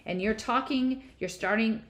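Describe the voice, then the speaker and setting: A woman reading an audiobook voices a teenage girl who is breaking down, yelling in raw desperation. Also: fairly high pitch, slow pace, pitched above the performer's own voice. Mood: frantic.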